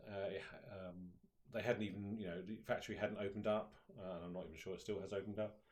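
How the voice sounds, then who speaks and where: A man's voice: deep voice, fairly even intonation, quick pace. A man chatting casually on a live stream about upcoming comic projects, hesitant with many ums and restarts. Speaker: comic publisher co-host.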